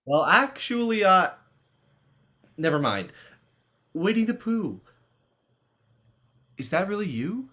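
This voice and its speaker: A man's voice: high voice, sing-song, slow pace, pauses often, pushed and bright, full character voice. A man playing an awkward, dryly polite teenage boy in a play reading, hesitant and wry. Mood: bewildered. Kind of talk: dramatized livestream play reading.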